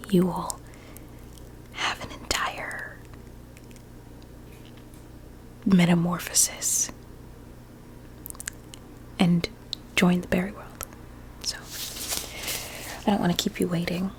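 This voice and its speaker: A woman playing a sweetly coaxing, sinister doctor in an ASMR roleplay, with a deliberate, soothing and formal manner. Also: lowish voice, level tone, low-quality recording. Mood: hushed, persuasive. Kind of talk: whispered ASMR medical roleplay.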